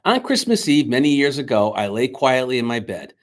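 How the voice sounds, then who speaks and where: A man speaking to schoolchildren as their teacher, reading a storybook aloud in an expressive, dramatic way. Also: mid-range voice, fairly quick. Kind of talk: children's storybook read-aloud and chat to pupils. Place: indoors, recorded remotely on video.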